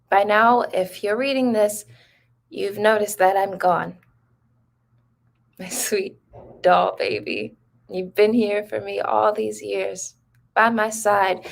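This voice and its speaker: A slow, somber voice belongs to a despairing woman reading out her farewell letter, as an actress plays her. Her tone has a gravelly fry to it, and she takes her time over each phrase. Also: medium pitch. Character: troubled grown woman writing a farewell letter.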